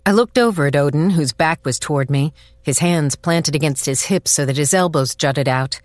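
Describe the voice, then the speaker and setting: Woman playing a wry small-town police chief, deep-ish voice, firm and dry, narrating over music. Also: normal speed, no room sound, close to an everyday voice. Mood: guarded, reflective. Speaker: narrator and lead character. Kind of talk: first-person dramatized audiobook narration.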